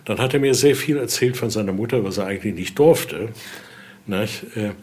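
A man with a fairly low voice talks as a guide who knows the subject well. He explains things in an informal, spoken way and often ends a point with a brief tag question. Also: moderate pace, darkish tone. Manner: frequent tag questions seeking agreement. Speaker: expert guide showing a collection.

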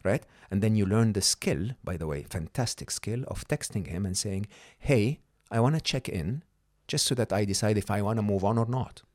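A man's voice: on the low side, animated, moderate pace, hardly any pauses, darkish tone, no echo, clean studio sound. A middle-aged man whose first language is not English, a podcast guest explaining ideas emphatically and often checking in with the listener. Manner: emphatic, checks in with "okay?" and "hmm?". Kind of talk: conversational coaching talk.

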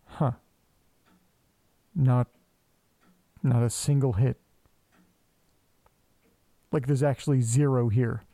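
An actor playing a restless, sweary man who mutters to himself and his pets in a naturalistic horror audio drama, casual and deadpan. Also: mid-range voice, takes it slowly, long pauses, dry recording. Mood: puzzled, muttering.

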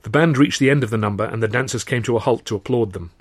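A man with an English accent reads his own novel aloud in measured, first-person storytelling. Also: medium pitch, dry recording. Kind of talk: dramatised audiobook narration.

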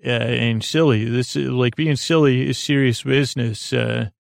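A man hosting a bedtime podcast in a gentle, reassuring, informal way, meandering and halting with many false starts, in a creaky, gravelly voice. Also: mid-range voice, fairly even intonation, dry recording, clean studio sound. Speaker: podcast host. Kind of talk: rambling, soothing bedtime podcast monologue.

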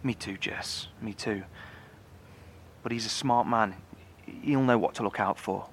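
A man's voice: fairly low pitch, measured pace, slight background noise. A man playing a fretful, inquisitive bunker dweller in a horror audio drama, talking in a brooding, questioning way. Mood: resigned, troubled.

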